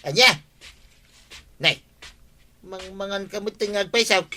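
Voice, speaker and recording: A man's voice: high-pitched, a little slow, sharp tone, a little hiss or hum, full character voice. A man playing a stubborn, idle husband in an Ilocano radio comedy drama, complaining and insisting in a blustering, whining way with an Ilocano accent. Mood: demanding. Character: stubborn idle husband demanding food, complaining about his wife.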